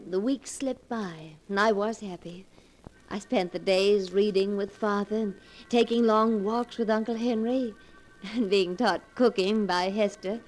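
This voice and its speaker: An actress playing an earnest, anxious young heroine in a 1940s radio drama, with dramatic delivery. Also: female voice, mid-range voice, fairly even intonation, unhurried, faint music behind, lightly acted, poor audio. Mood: reflective.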